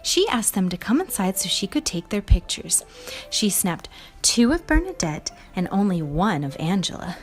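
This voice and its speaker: A woman's voice: medium pitch, very animated, moderate pace, faint music behind. A woman hosting a read-aloud, telling a storybook to young children with emphatic expression. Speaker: storybook reader and show host.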